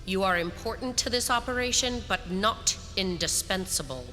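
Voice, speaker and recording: A woman's voice: medium pitch, animated, moderate pace, bright, forceful tone. A woman playing a cold, commanding villainous grand marshal in an audio drama, stern, clipped and threatening. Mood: menacing. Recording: echoing hall with faint music.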